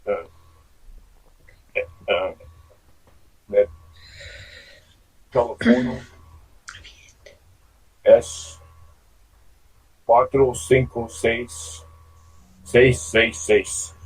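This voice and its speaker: A man in his sixties with a Canadian English accent, a native English speaker doing a beginner oral exercise haltingly. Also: medium pitch, slightly rough, rough recording. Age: 60s. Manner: halting, searching for words. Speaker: beginner Spanish student.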